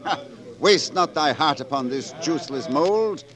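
A swaggering rogue poet in a vintage radio play. The voice sits fairly high and leaps around freely, florid and theatrical, full of boastful roguery. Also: male voice, expressive, measured pace, a bit bright. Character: swaggering roguish French vagabond poet, boastful. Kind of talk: 1940s radio drama acting. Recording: vintage 1940s radio broadcast.

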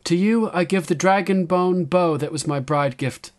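A man's voice: high-pitched, moderate pace, voice pushed up, lightly acted. A man voicing a resolute, regal young woman queen in a solemn, declamatory audiobook performance. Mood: commanding, ceremonial. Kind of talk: audiobook character acting.

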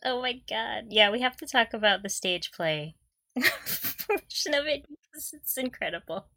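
A woman's voice: fairly high pitch, lively intonation, a little slow, sharp tone, no room sound. A woman co-hosting a podcast, chatting casually and enthusiastically as a fan, with a giggly manner. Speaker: podcast co-host.

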